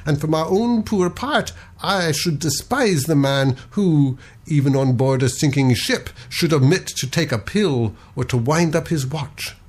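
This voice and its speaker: A man voicing a calm, philosophical sea captain who reasons in a gentle, lofty manner. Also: on the high side, unhurried. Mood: lecturing.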